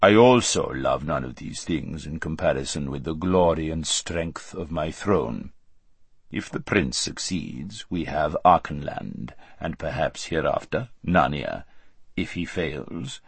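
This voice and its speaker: An older-sounding man voicing a scheming old king who speaks slowly and pompously. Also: a bass voice, animated, gravelly, husky, no echo, pitched below the performer's own voice, heavily acted. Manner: slow, pompous, measured.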